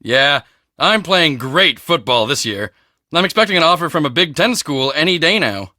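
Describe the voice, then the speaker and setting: A man voicing a cocky, boastful teenage high school football star in a video game, with a swaggering, emphatic, self-important delivery. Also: lively intonation, moderate pace, bright, forceful tone, no room sound, clean studio sound. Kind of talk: video game character dialogue.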